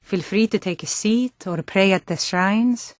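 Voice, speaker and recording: A woman voicing a sturdy, plain-spoken Nord townswoman in a fantasy game, speaking scripted lines in a measured way. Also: medium pitch, no echo, studio quality, natural voice. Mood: solemn, caring.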